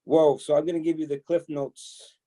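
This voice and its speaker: A man from El Salvador, in his fifties, shares his past as an interview guest. His voice sits a bit high but sounds dark and mellow. He talks casually, chuckles often and restarts his sentences. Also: moderate pace. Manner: relaxed, chuckling, many fillers and restarts. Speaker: podcast guest. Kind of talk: storytelling interview.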